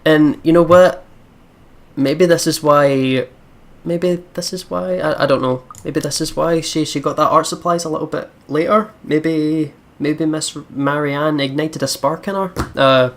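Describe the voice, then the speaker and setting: A let's play host commenting on a game in an animated, informal way, often repeating words. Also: medium pitch, unhurried. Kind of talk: game commentary and dramatic read-aloud of narration.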